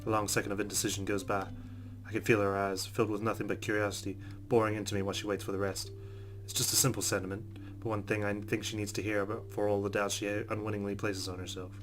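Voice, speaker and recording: A man hosting a let's play, reading visual novel narration aloud and adding expressive casual reactions. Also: lowish voice, fast talker. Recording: music behind the voice.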